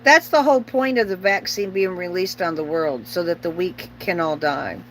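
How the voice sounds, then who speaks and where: A woman's voice: on the low side, moderate pace, fairly bright tone, slight background noise, rough recording. A middle-aged woman chatting casually on a livestream as host, rambling and opinionated, bluntly repeating herself for emphasis.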